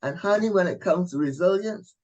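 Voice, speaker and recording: A middle-aged woman from Barbados, a coach telling her story as an interview guest in a lively, chatty way. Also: lowish voice, brisk, dull audio. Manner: lively, chatty, warm asides like "honey".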